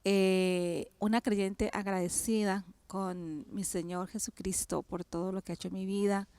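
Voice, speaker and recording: A woman from Cali, Colombia, with a Colombian accent, sharing her faith and childhood as a guest, speaking hesitantly with many pauses and fillers. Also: mid-range voice, little pitch movement, dark tone, smooth, no echo. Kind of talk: personal testimony in an interview.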